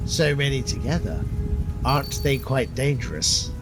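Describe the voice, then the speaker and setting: An actor playing a polite, quaintly formal member of a hunting party in an audio drama, with understated phrasing. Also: male voice, mid-range voice, big pitch swings, moderate pace, music in the background, close to an everyday voice, low-quality recording. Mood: apprehensive.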